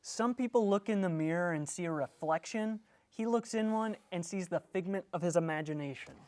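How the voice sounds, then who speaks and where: A man's voice: high-pitched, a little fast, darkish tone, close to an everyday voice. A man playing a resentful male rock drummer in a script read, dry and needling. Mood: sarcastic, irritated. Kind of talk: script table read acting.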